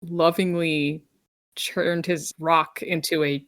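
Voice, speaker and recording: A woman's voice: low voice, level tone, slow pace, no echo, studio quality. A woman talking casually as a player in a tabletop roleplaying game. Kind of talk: casual chat.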